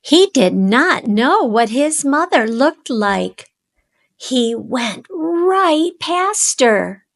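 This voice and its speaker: A woman hosting a children's read-aloud, narrating a storybook in simple, deliberate phrases addressed to young listeners. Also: sits high, sing-song, vocal fry.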